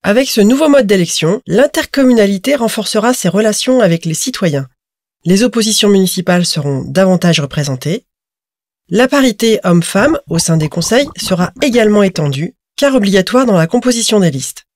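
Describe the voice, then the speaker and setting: Woman narrator, scripted explainer read, clear and measured, mid-range voice. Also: medium pitch, expressive, darkish tone, dry recording, studio quality.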